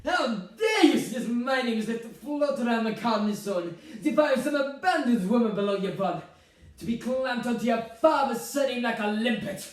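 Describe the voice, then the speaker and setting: Man as indignant older mother, stage monologue, wounded, sharp, animated, distant from the mic. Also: very high-pitched, moderate pace, voice pushed up. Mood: outraged. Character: indignant, self-pitying older mother of the groom. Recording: echoey room, distant microphone.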